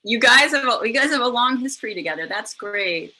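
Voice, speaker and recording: A woman hosts a relaxed online talk, speaking with a bright, clear tone. She chats loosely and often circles back with fillers and restarts. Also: fairly high pitch, a little fast, poor audio. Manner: chatty, with many fillers and restarts. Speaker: podcast host. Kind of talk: casual webinar chat.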